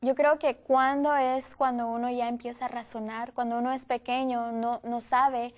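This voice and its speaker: A young woman from Guatemala speaking as a panelist, explaining her view calmly and reasonably. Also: fairly high pitch, moderate pace. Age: young adult. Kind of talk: panel discussion.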